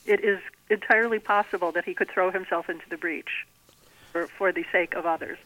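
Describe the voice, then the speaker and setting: A woman being interviewed as an author, explaining things conversationally in a measured way. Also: on the low side, steady tone, fairly bright tone. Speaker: guest author. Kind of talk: conversational interview about own writing. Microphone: telephone. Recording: thin, band-limited telephone line.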